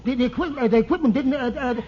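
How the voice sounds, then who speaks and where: Super high stammering little fool, flustered, old radio comedy, muffled with some hiss. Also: male voice, rapid-fire, a little mellow. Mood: boastful, tongue-tied. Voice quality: heavy comic stutter. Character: flustered, simple-minded little male pal, boastful and harmless. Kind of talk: old-time radio sitcom acting. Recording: muffled, slightly noisy vintage radio recording.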